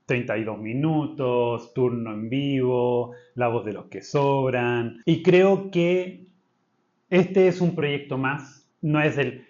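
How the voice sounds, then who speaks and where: A man co-hosts a podcast at an average pitch. He talks casually, restarting his sentences as he thinks, but he is firm when he states his principles. The recording has a dull lo-fi edge. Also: takes it slowly, a little mellow. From Chile. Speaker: podcast co-host. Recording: slightly dull lo-fi sound.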